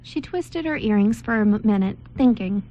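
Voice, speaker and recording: A woman's voice: mid-range voice, animated, normal speed, warm tone, dull audio. A woman reading scripted story narration aloud as narrator, occasionally stumbling over the words.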